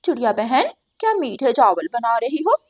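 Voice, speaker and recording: A woman's voice: on the high side, big pitch swings, moderate pace, pitched above the performer's own voice. A woman voicing a sly, greedy female crow in a cartoon, with a wheedling, nosy manner.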